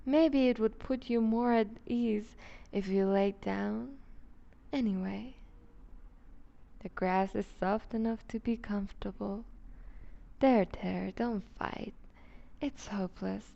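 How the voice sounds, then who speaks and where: Creaky, slow-talking seductive vampire duchess, played by a woman. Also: medium pitch, warm tone, muffled recording. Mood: amused, possessive. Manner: velvety teasing, condescending, unhurried, often dropping to whispers. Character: seductive, dominant vampire duchess, predatory and possessive. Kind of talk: ASMR roleplay, intimate scripted monologue.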